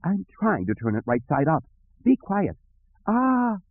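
A man's voice: high voice, wildly swooping pitch, unhurried, phone-quality sound, voice pushed up, exaggerated voice. A man voicing a fussy, anxious protocol droid with a prim, formal manner in an audiobook. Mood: panicked.

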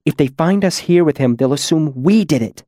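A man voicing a nervous, sharp-tongued woman in a demon mask, hissing urgently in an audiobook story. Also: fairly high pitch, animated, normal speed, no room sound, studio quality, pitched above the performer's own voice, natural voice. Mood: pleading, urgent.

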